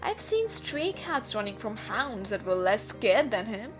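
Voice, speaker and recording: A woman's voice: fairly high pitch, big pitch swings, unhurried, music in the background, poor audio. A woman playing a wily, menacing thief in a scripted fantasy audio roleplay, with a theatrical delivery. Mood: surprised, amused.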